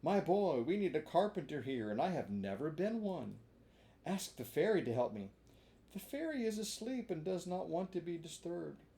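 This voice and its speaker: A gentle, unhurried snail lady, as a storybook reader puts her on, calm and peaceful no matter how urgent things get. Her voice sits a little above the reader's own, mellow and rounded. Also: mid-range voice, voice pushed up. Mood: patient. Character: calm, unhurried female snail at a fairy's house. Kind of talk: storybook reading.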